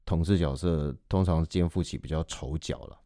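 A man's voice: extremely low pitch, fairly even intonation, normal speed, dark tone, no echo, clean studio sound. A man with a Taiwanese Mandarin accent, an actor speaking as a podcast guest in a reflective, explanatory, conversational way. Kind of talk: conversational interview.

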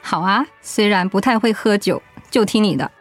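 An actress playing a kind, attentive middle-aged housewife talking in a radio drama. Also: female voice, medium pitch, moderate pace, faint music behind, close to an everyday voice. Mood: polite, friendly. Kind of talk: radio drama acting, conversational.

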